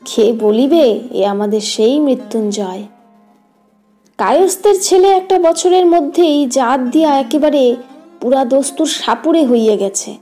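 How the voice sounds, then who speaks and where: A woman's voice: sits high, measured pace, dark tone. A woman reading a literary story as an audiobook narrator, in a scripted storytelling style. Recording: guitar music behind the voice. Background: plucked guitar music.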